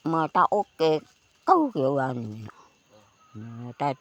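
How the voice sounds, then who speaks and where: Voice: medium pitch, huge pitch swings, slow pace, gravelly, a bit bright, no echo. A person telling a folk tale aloud in a conversational way. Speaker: folk storyteller.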